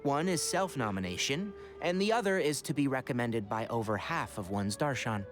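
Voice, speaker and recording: A man's voice: medium pitch, lively intonation, normal speed, loud music behind the voice, natural voice. An actor playing a composed, sensible young male scholar in a video game, articulate and wry as he explains things. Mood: composed, explanatory.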